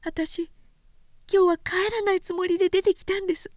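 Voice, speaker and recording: A woman voicing a devoted young woman who pleads quickly and urgently in a high voice. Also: steady tone, a little mellow, dry recording, studio quality. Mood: pleading. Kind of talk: literary reading.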